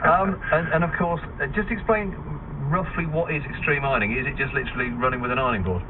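A man's voice: a little high, fast talker. A man presenting a chatty local radio interview, with informal teasing banter. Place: radio studio with guest present. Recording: noisy, phone-like radio broadcast recording.

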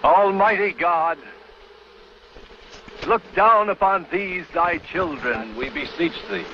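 An actor playing an old pioneer preacher in a 1950s western TV drama, intoning a solemn prayer. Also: male voice, high voice, slow pace, slight background noise. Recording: dull old TV soundtrack with music.

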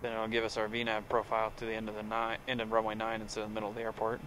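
A man's voice: on the low side, completely flat delivery, rapid-fire, a bit bright, a little hiss or hum, low-quality recording. A man, a pilot, chatting casually over a headset in a small aircraft cockpit. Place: small turboprop cockpit in flight. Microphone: aviation headset. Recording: engine drone, cockpit headset audio.

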